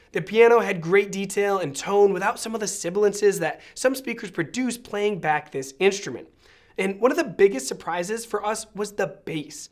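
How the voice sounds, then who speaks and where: An American man in his thirties giving a scripted review to camera with polished, articulate presenter delivery. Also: high voice. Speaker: presenter of an audio product review. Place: small indoor studio set.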